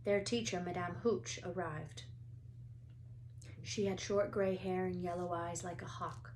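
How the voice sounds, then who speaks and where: A woman's voice: fairly low pitch, fairly even intonation, measured pace, darkish tone, a little hiss or hum, poor audio. A woman reading a children's story aloud as narrator, expressively, like a teacher to pupils.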